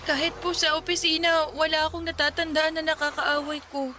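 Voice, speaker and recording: A woman's voice: sits high, level tone, fairly quick, sharp tone, a little hiss or hum. A woman playing a suffering, meek young Filipino office worker in a radio drama, plaintive, hesitant and respectful. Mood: puzzled, hesitant.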